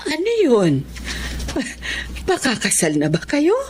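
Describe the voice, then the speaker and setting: Kindly older ailing mother, lively pitch. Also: slow, noisy background, full character voice. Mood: grateful, hopeful. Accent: Filipino. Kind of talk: Filipino radio drama acting.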